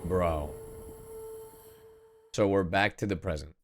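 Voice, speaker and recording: A man's voice: low-pitched, slow pace, a little hiss or hum. A man streaming a game, giving casual live reactions in awed muttered asides and exclamations. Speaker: streamer, VTuber. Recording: loud game music behind. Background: game dialogue and music.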